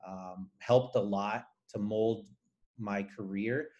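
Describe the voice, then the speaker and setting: Low-voiced man from Nicaragua, native Spanish speaker. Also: steady tone, slow pace, a little mellow, highs cut off. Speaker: guest engineer. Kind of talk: conversational interview answers.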